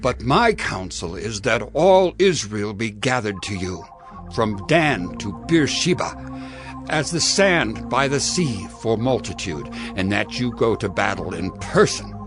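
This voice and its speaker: An actor playing a shrewd, persuasive ancient royal counselor in a dramatized audio Bible, speaking slowly with emphatic oratory. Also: male voice, on the low side, very animated, music in the background. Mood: grave, persuasive.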